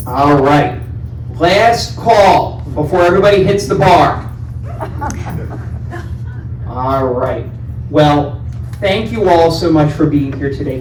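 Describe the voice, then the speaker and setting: A man with a loud voice hosting a community town hall, friendly and joking with residents by name. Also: fairly high pitch, slight background noise. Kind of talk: casual town hall talk. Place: large meeting room indoors. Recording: echoey room, distant mic.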